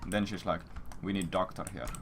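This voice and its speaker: A man with a very deep voice rambles through a crude, sweary anecdote in a casual streamer style. The recording has clear background noise, with computer keyboard sounds behind him. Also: fairly quick. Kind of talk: casual storytelling to stream viewers.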